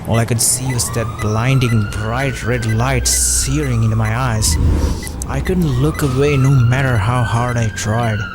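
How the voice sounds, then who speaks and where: A man's voice: mid-range voice, fairly even intonation, measured pace. Brooding man narrating horror over music. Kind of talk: scripted first-person horror narration.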